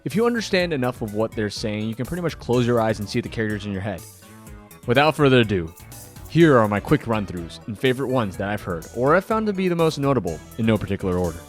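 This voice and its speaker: Animated man hosting over background music. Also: medium pitch, fast talker. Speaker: video host and anime fan. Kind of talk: scripted video commentary.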